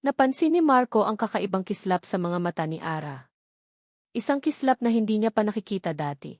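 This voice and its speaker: A woman narrates a romance tale as dramatic scripted storytelling. Her pitch sits in the middle range for a woman. The recording is dry and close, with no room echo. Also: lively intonation, brisk, darkish tone.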